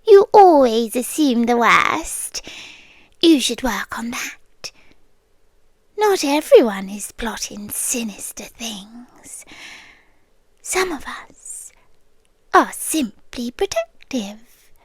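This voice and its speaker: A high, breathy British female voice played by a woman as an obsessive, inhuman girlfriend in an ASMR roleplay. She drifts between soft speech and whispers, sweetly condescending and quietly threatening. The sound is close and dry. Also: very animated, slow pace, pauses often, no room sound, exaggerated voice. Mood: softly menacing. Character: possessive yandere girlfriend, inhuman nest-dweller, softly menacing. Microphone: close microphone.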